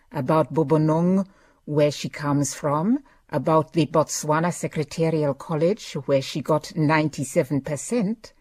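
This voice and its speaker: An actress playing a compassionate, thoughtful woman detective in a radio drama, with a Southern African accent and gentle, measured delivery. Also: low voice, slightly rough, dry recording, heavily acted. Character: thoughtful, compassionate Motswana woman detective, telling her case. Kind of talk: radio drama dialogue and reflective narration.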